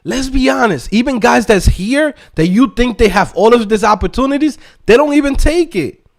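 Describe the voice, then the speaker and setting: High-pitched, animated man in a motivational rant. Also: normal speed, vocal fry. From Dominican Republic. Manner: emphatic, streetwise, slangy, peppered with tag questions. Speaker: YouTube host and developer coach. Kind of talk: casual motivational rant to viewers.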